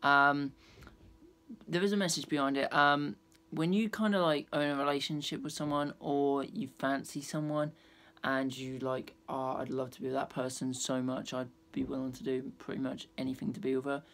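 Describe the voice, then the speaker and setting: A young man with a croaky throat, chatting hesitantly to his webcam from a small bedroom, full of ums. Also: mid-range voice, little pitch movement, normal speed, a bit bright. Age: young adult. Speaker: vlogger. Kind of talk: casual chat to camera. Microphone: webcam at desk. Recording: backing music playing.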